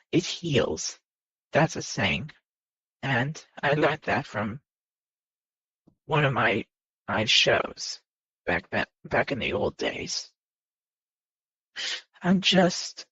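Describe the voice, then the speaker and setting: A person speaking unscripted to viewers in a personal confession, earnest and halting, often repeating phrases and starting over. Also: medium pitch, husky. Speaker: video creator. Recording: muffled lo-fi mic in echoey room.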